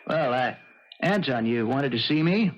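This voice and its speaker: An actor playing a polite, mild-mannered doctor in a 1970s radio drama, courteous and a little hesitant. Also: male voice, mid-range voice, animated, measured pace, lightly acted. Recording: dull, noisy vintage radio recording.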